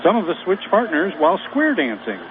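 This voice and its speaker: Easygoing radio sidekick, noisy phone-like line. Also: male voice, high-pitched, huge pitch swings, brisk. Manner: easygoing, conversational. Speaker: radio color commentator. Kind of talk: ad reading and pregame banter. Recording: phone-like radio broadcast with clear noise.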